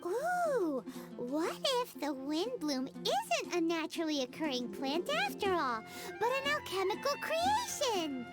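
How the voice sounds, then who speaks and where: A woman voicing a tiny, excitable, chirpy fairy companion in a video game. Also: very high voice, unhurried, few pauses, loud music behind the voice, exaggerated voice. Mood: excited. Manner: chirpy, refers to self in third person.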